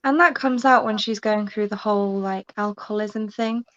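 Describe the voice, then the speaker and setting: A woman's voice: mid-range voice, normal speed, fairly bright tone, no echo, muffled recording. A woman chatting casually as a guest in an online book discussion. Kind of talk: casual book chat on a livestream.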